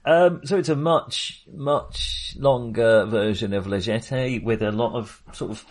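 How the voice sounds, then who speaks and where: A man's voice: mid-range voice, unhurried. A British man co-hosting a casual film discussion, speaking in a hesitant, rambling way and often interrupting himself. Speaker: film podcast co-host.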